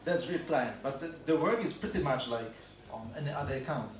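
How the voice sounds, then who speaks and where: A man with a Polish accent giving a conference talk on advertising, halting and full of fillers and restarts. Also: medium pitch, lively intonation. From Poland. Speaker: advertising agency speaker. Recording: echoey room from a distance.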